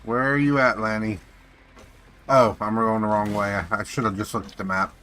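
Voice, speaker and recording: A man's voice: on the low side, little pitch movement, normal speed, bright, forceful tone. A man casually commentating on a game live, playfully talking to himself. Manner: talks to self, playful. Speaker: game streamer. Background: game music.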